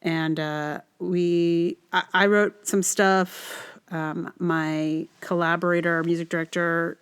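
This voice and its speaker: A woman's voice: on the low side, little pitch movement, takes it slowly, clear, resonant tone. Casting: female podcast host, casual and rambling, reflective, hesitant, trailing off mid-thought. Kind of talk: casual rambling introduction to a song.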